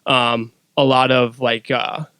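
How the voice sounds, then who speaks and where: A man's voice: medium pitch, little pitch movement, slow pace, sharp tone, clear, resonant tone, no room sound. A man speaking as a podcast guest, casually telling a personal story.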